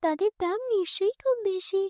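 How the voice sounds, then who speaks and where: A woman's voice: extremely high pitch, fairly quick, darkish tone, dry recording, clean studio sound, pitched above the performer's own voice. A woman voicing a young orphaned village girl in a cartoon fairy tale, plaintive and earnest. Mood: earnest curious. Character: grieving young orphan village sisters, girls.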